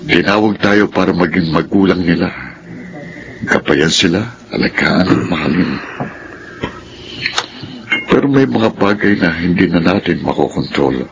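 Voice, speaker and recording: Casting: loving, resigned older Filipino father, extremely deep voice, earnest radio-drama delivery. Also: expressive, vocal fry, crowd noise, heavily acted, rough recording. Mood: reflective, heavy-hearted. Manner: solemn, measured, earnest.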